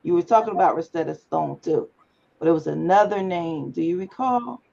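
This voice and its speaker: A woman instructor leading an online class discussion, speaking in an unhurried, encouraging way with frequent fillers over a video call. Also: on the low side, creaky, warm tone. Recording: dull lo-fi video call audio.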